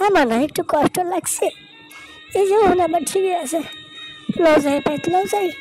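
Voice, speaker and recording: A woman voicing a small plucky village boy with a squeaky, insistent way of talking in a cartoon. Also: normal speed, low-quality recording. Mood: brave, consoling. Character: small plucky village boy soothing his tearful sister. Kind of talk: Bengali moral-story cartoon dialogue. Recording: loud music behind the voice.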